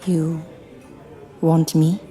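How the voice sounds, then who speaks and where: An actress playing a cool, mysterious, seductive femme fatale in a noir audio drama, speaking formally and with measured aloofness. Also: female voice, sits low, level tone, dark tone, soft background music. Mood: intrigued, seductive.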